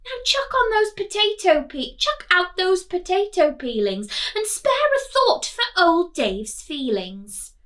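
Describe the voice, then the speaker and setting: Woman as an indignant, high-pitched storybook knight. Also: very animated, a little slow, hardly any pauses, exaggerated voice. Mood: pleading, firm. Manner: loud, indignant, declaiming in rhyme. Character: furious, fiercely gentle knight scolding a crowd.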